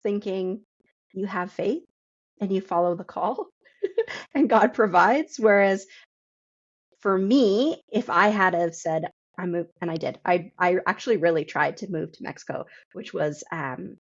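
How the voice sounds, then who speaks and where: A woman's voice: mid-range voice, unhurried, highs cut off. A woman hosting a reflective interview, thinking aloud in a conversational way and repeating phrases as she forms her thought. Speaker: podcast host, interviewer.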